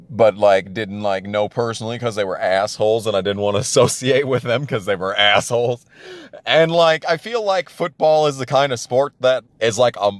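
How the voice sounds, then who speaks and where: Man in his twenties, medium pitch, dry close sound, casual comic storytelling, exasperated, sweary, repeats himself for emphasis. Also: no echo. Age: 20s. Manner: profane, exasperated ranting. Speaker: storyteller on a gaming channel. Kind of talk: casual comedic personal storytelling.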